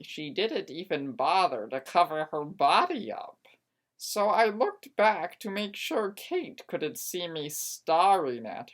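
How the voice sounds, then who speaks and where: A woman narrating a first-person story as a theatrical dramatic reading. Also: mid-range voice.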